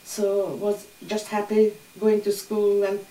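Older woman telling her life story, calm and matter-of-fact, echoey room, mic kinda far off. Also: mid-range voice, slow pace. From Hungary. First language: Hungarian. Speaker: interviewee. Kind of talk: oral history recollection. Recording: roomy echo, distant mic.

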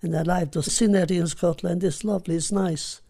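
An older woman from Hungary with a Hungarian accent, recalling her life in an unhurried, reflective way during an oral history interview. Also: fairly low pitch, fairly even intonation, vocal fry, mellow, breathy edge. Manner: unhurried, reflective, simple phrasing.

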